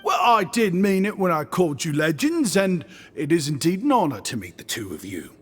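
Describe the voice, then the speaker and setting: A man's voice: on the high side, sing-song, normal speed, a bit bright, in a large hall, soft background music. An actor playing an affable, chatty young male shopkeeper in a video game, effusive and breezy with a self-deprecating streak. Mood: effusive, admiring.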